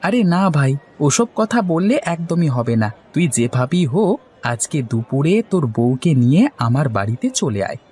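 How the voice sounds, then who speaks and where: A man's voice: fairly high pitch, sing-song, normal speed, darkish tone, slight background noise. A man voicing a poor, hospitable village man in a cartoon, effusive and insistent. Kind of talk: Bengali cartoon story dialogue.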